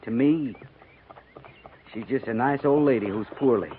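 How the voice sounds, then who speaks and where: An actor playing a plain-spoken, soft-hearted frontier sergeant, folksy, hesitant and respectful, in a 1950s western radio drama. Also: male voice, medium pitch, normal speed, close to an everyday voice. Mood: earnest, reproachful. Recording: tinny, faintly noisy 1950s radio recording.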